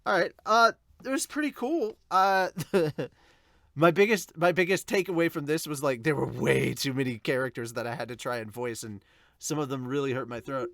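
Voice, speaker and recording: A man's voice: on the high side, moderate pace, creaky, fairly bright tone, no room sound, clean studio sound. A man hosting a manga review podcast, chatting to viewers in an informal, rambling way. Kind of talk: casual chat to viewers.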